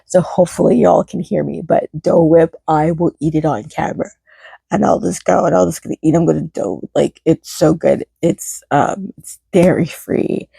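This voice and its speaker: Low, creaky-voiced woman vlogging. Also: fairly even intonation, moderate pace, dark tone, dry recording. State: voice starting to go hoarse; tired, low energy. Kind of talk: casual rambling chat to camera.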